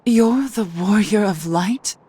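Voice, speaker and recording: A woman's voice: medium pitch, slow, dark tone, slightly rough. An actress playing a proud, wary witch in a video game, with formal, arch and sardonic phrasing. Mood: mocking. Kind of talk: scripted video game acting.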